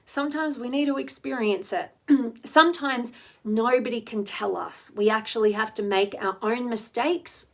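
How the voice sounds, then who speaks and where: A woman, slightly hoarse, hosting a live stream where she reflects on relationships, greets viewers and often ends her points with "right?". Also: on the high side, moderate pace, fairly bright tone. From Australia. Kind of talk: live-stream talk reading viewer comments.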